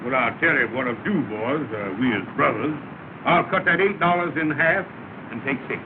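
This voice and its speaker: An actor playing a smooth-talking, scheming lodge leader who uses fancy words in an old film comedy. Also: male voice, medium pitch, expressive, normal speed, close to an everyday voice. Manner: slick, long-winded, fancy words. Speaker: comic schemer in a 1930 film. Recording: scratchy, tinny, hissy 1930 film sound.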